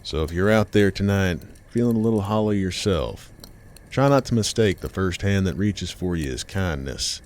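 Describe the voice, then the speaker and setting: An American man narrating a scripted horror tale in a deliberate, ominous, folksy campfire storytelling manner. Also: sits low, animated, a little mellow. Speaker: storyteller host of a horror series. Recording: music bed behind the voice. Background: music.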